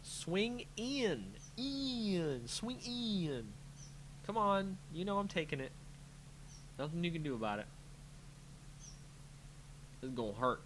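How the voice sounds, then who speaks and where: A man's voice: sits high, very animated, takes it slowly, pauses often, a bit bright, a little hiss or hum. A man giving casual live commentary on a game he is playing, talking to himself playfully as he thinks. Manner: muttering to himself, self-talking, playful sing-song filler. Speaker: host of a card game channel, playing.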